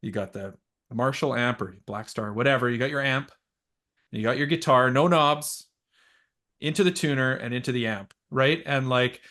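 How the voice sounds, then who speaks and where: A man's voice: medium pitch, normal speed, a bit bright. A man hosting a podcast interview, talking in a casual, eager way and often checking in with "right?". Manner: eager, affirming, frequent "right?" and "yep". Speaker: podcast host.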